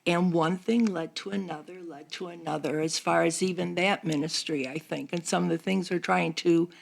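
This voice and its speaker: A woman's voice: on the low side, fairly even intonation, moderate pace, gravelly. A woman with an American accent, talking earnestly and reflectively in a casual podcast conversation. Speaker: church seniors' group volunteer.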